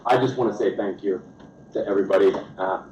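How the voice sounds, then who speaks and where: A man at a school board meeting speaks plainly and gratefully, with halting restarts. His voice is low and warm. The recording is roomy, slightly dull, with faint hiss. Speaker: school administrator thanking staff. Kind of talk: informal remarks at a meeting. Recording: echoey, dull meeting-room sound.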